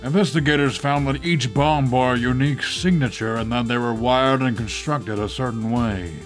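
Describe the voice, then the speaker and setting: A man acts a stern older male police chief with a medium-pitched voice. He is weary, authoritative and matter-of-fact in audio-drama style, with faint music behind him. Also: normal speed, lightly acted. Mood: grave briefing.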